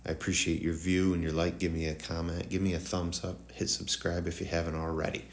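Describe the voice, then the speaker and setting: A man's voice: low-pitched, level tone, brisk, slight background noise. A man with a slightly nasal, congested morning voice giving a casual, rambling tutorial voice-over straight to viewers. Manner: informal, rambling, addresses viewers directly. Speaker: host of woodworking tutorial channel.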